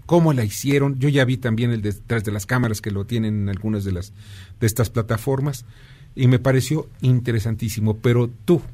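A man's voice: medium pitch, a little fast, mellow. A male radio host with a mid-range voice. He talks effusively and asks long, winding questions that loop back on themselves. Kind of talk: radio talk show interview.

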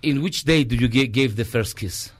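A man's voice: medium pitch, normal speed, crowd noise. A Mexican man, a native Spanish speaker, hosting and interpreting a casual, playful interview with joking banter. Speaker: radio show host and interpreter.

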